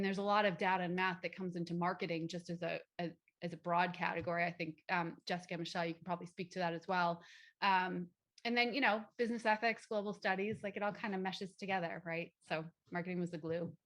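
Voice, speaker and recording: A woman's voice: on the low side, fairly bright tone. A woman speaking as a panelist in a casual online discussion, talking loosely and conversationally with plenty of fillers.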